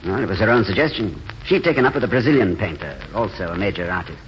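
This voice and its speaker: A male actor plays a gentle, weary intellectual who mocks his own failures, in vintage radio drama style. His delivery is courteous and hesitant, swinging widely in pitch. The sound is muffled, with faint noise from the old broadcast. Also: lively intonation, fast talker, close to an everyday voice. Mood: wry, self-mocking. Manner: courteous, hesitant, wryly self-deprecating. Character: gentle, world-weary, self-mocking failed writer and drifter. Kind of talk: 1940s radio drama acting.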